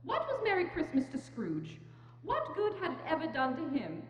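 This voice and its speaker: A woman's voice: high voice, huge pitch swings, moderate pace, dull audio. A woman narrator giving a scripted dramatic reading of a story. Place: church, live staged reading. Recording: echoey church hall, faint noise.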